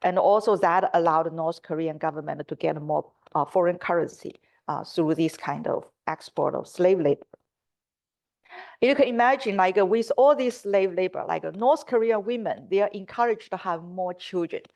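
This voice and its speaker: A woman's voice: on the low side, normal speed, a little mellow. A middle-aged woman from China, a native Mandarin speaker with a Mandarin Chinese accent, giving a prepared lecture as a symposium speaker. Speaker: symposium speaker, scientific curator.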